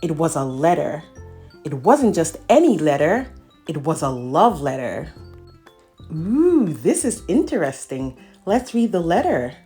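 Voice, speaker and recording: Female storyteller for a children's picture-book read-aloud. Lowish pitch, very lively intonation, music underneath. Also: measured pace. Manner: animated, addressing child listeners.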